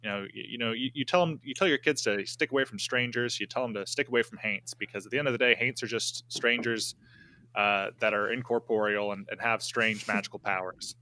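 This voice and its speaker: A man playing a tabletop game, casually improvising his character's story in a halting, think-aloud way. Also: fairly low pitch, steady tone, bright, forceful tone, slight background noise, low-quality recording.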